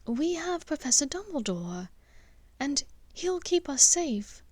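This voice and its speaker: A woman's voice: high voice, big pitch swings, takes it slowly, a little mellow, dry recording, pitched above the performer's own voice, full character voice. A woman voicing an earnest, defiant teenage witch in an audiobook story, firm and insistent.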